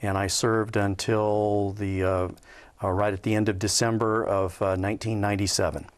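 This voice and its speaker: Low-voiced, hesitant American man. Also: level tone. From Southern California, USA. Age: 50s. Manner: hesitant, measured, frequent pauses and repeated words. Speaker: interview guest, Air Force veteran. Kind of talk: conversational personal recollection.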